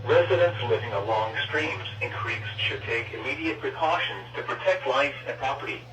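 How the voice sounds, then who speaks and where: A man's voice: mid-range voice, normal speed, far from the mic. A synthetic text-to-speech man reads a formal emergency warning over weather radio in list-like phrasing, as an automated announcer. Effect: weather radio broadcast. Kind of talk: scripted emergency warning read-out. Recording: roomy, muffled, noisy weather radio capture.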